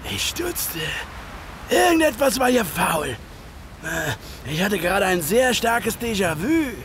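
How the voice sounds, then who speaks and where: Man acting an agitated, theatrical narrator, slow and swinging. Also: sits high, very animated, a bit bright, slight background noise, exaggerated voice. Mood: tense, dramatic. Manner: theatrical, halting with dramatic pauses. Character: agitated male narrator, confused would-be killer in Victorian London. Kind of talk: dramatic first-person radio play narration.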